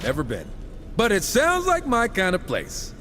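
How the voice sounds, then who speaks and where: A man's voice: high-pitched, wildly swooping pitch, measured pace, sharp tone, in a large hall, slight background noise, exaggerated voice. An actor playing a cocky, wisecracking horseman warrior in a video game, sarcastic and irreverent. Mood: cocky, eager. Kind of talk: casual quips in video game cutscene acting.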